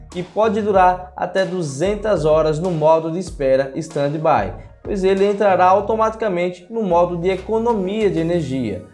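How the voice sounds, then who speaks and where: A Brazilian man narrates a scripted product review. His voice sits high for a man, and music plays softly behind him.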